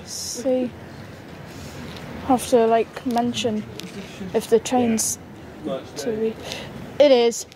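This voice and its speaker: A woman speaking in casual chat, halting and informal as she goes. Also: a little high, flat delivery, a crowd in the background. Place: metro station platform. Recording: noisy outdoor station ambience. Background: train and platform noise.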